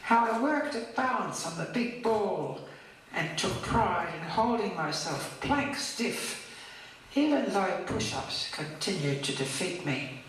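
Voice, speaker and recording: A woman's voice: medium pitch, expressive, takes it slowly, recorded from a distance. An older woman reading her own poem as a monologue, recorded and played back in a hall. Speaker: poet. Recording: played over echoey hall speakers, faint noise. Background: faint hiss of hospital oxygen.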